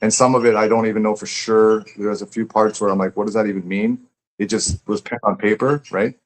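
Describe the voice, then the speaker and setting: Male author, low voice, reflective and halting, casual live-stream interview. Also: fairly even intonation, poor audio. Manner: reflective, halting, searching for words. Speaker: poet and author being interviewed. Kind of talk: casual conversation about own writing.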